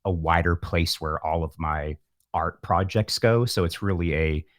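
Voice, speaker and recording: Male interview guest, very low voice, dry close sound, reflective and rambling, conversational. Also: a little slow, no room sound. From San Francisco Bay Area, California. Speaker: guest, musician. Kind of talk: casual interview talk. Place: home setup, remote call.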